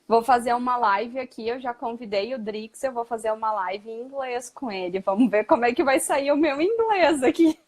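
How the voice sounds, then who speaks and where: A woman's voice: fairly high pitch, normal speed, few pauses, darkish tone. A woman hosting a casual live stream who chats freely and reads out viewers' comments. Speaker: host.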